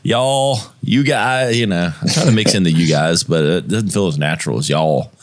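A man's voice: sits low, moderate pace, no echo. A man in his forties hosting a podcast interview, talking casually, laughing often and swearing freely. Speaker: podcast host. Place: home studio with posters. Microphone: headphones and boom mic.

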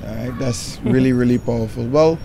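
A young man interviewing a guest, asking questions and encouraging her with steady backchannels. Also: mid-range voice, fairly quick, vocal fry, slight background noise. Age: young adult. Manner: encouraging, with many "mm-hmm" backchannels. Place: outdoor terrace by a balustrade. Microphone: handheld mic.

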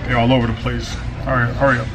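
A man in his forties casually reviewing food on camera, slangy and animated, reading his own written review aloud with flourish. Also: medium pitch, fast talker, roomy sound, background noise. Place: small restaurant dining room, indoors.

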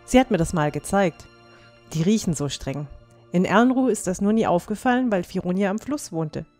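A woman's voice: mid-range voice, animated, normal speed, darkish tone, soft background music, close to an everyday voice. A woman playing a plucky, teasing young dwarf squire in a fantasy audio drama, down-to-earth and matter-of-fact.

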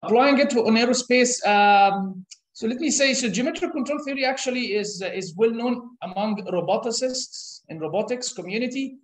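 Egyptian-born man in an echoey room. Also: very high-pitched. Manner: thoughtful, with frequent "uh" pauses and restarts. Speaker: interview guest, professor. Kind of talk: explanatory interview answers. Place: conference room. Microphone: camera across a table.

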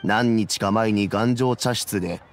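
A man voicing a grave, worldly-wise older advisor in an anime game, measured and gravelly. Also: lowish voice, faint music behind, lightly acted. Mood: probing, grave.

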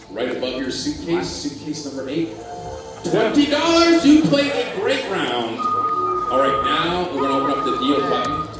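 A man hosting a game show on a cruise ship stage, animated and jokey as he calls out the amounts. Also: on the high side. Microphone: handheld mic, far camera. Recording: echoey hall from far off, loud music.

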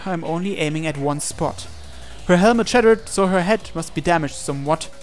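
A man with a fairly high voice reads a visual novel aloud over music. His delivery is earnest and theatrical, telling the story in the first person with dramatic intensity. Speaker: let's-play reader of a visual novel.

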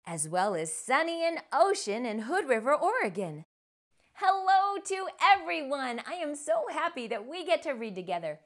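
A middle-aged woman hosting a children's storytime, speaking in an animated, expressive way to young readers. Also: high voice, normal speed. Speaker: host of children's read-aloud show. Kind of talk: children's storytime read-aloud and presenting. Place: small furnished room indoors. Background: music.

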